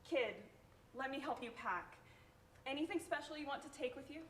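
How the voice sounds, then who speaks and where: A high-pitched, placating female social worker acted by a woman in a stage drama reading. She speaks simply and reassuringly, as if to a child, in a roomy space with clear background noise. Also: moderate pace, a bit bright. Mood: gentle, reassuring. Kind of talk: staged reading of a one-act play.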